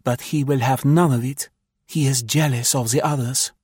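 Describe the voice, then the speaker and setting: A man's voice: medium pitch, fairly even intonation, normal speed, darkish tone, breathy edge, no echo, studio quality, voice pushed up, close to an everyday voice. A man voicing an imperious, passionate young female spy, speaking with heightened emphasis.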